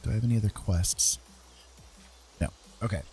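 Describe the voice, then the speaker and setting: A man's voice: lowish voice, normal speed, warm tone, soft background music. A man giving casual gameplay commentary, thinking aloud and often tacking little questions onto his sentences. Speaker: game streamer.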